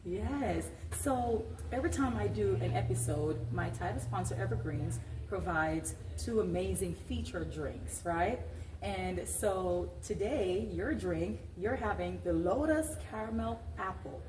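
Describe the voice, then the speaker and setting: A woman's voice: medium pitch, lively intonation, a little slow. A woman hosting a talk show in an announcing, presenter-like manner. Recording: roomy echo, distant mic, clear background noise.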